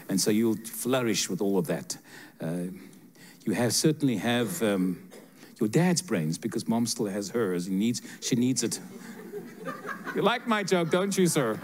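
An older man giving prophetic words to listeners in a church sanctuary, speaking with a handheld mic in a lively, joking, direct manner. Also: medium pitch. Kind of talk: prophetic ministry to congregation. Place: church sanctuary with seated congregation.

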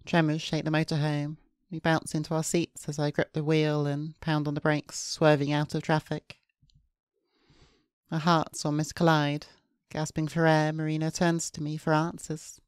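Low, even-voiced woman narrator. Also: moderate pace, clean tone, no room sound, clean studio sound. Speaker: VTuber host reading a game aloud. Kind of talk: scripted first-person narration. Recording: music behind the voice.

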